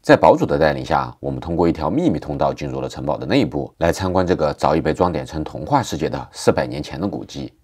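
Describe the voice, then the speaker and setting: Very deep, lively, fast male narrator. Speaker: vlog narrator. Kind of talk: scripted, playful voice-over narration.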